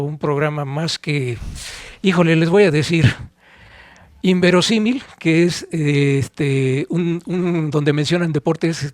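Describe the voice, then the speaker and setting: Higher-voiced Mexican man, dry close sound. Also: darkish tone, no room sound. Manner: didactic, with pauses and rhetorical questions. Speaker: podcast co-host and audio expert. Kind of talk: informal explanatory podcast talk.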